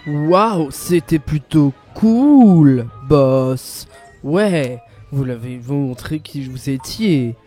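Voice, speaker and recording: Casting: a man as an adoring henchman, soft mellow timbre, unhurried gushing delivery, cartoon. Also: a little high, expressive, soft background music. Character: fawning, infatuated minion of a villain boss.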